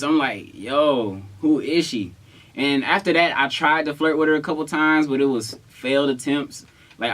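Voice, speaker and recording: A young man telling a story in casual, slangy chat, with a playful, protesting manner. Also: medium pitch. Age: young adult. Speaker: vlogger telling a couple story.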